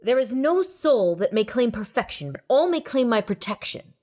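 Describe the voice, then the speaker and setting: A woman's voice: a little high, big pitch swings, moderate pace, darkish tone, voice pushed up. A woman voicing a stern, pompous male wind god who declaims in lofty, formal speech.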